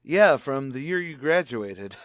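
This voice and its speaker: A man's voice: mid-range voice, expressive, takes it slowly, a bit bright, no echo, clean studio sound. A man playing an easygoing, joking husband and amateur sleuth in a radio mystery drama. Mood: amused, teasing. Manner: joking, playful.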